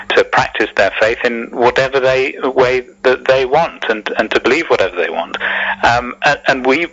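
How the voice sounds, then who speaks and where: A man giving earnest, measured radio interview answers as a community spokesman, in a hesitant, halting way with repeated words. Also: mid-range voice, fairly bright tone, breathy edge. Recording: muffled lo-fi radio recording.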